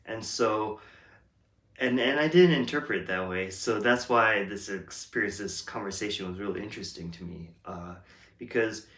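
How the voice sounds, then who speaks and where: A man's voice: lowish voice, moderate pace, fairly bright tone. A man whose first language is English, hosting a reflective casual monologue, talking hesitantly with many ums and restarts. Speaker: host. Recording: roomy echo.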